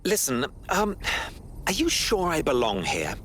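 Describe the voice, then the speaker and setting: A man's voice: a little high, wildly swooping pitch, a little slow, a bit bright, soft background music, heavily acted. An actor playing a male recruit to an underground resistance in a video game. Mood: unsure, hesitant. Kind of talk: scripted video game dialogue.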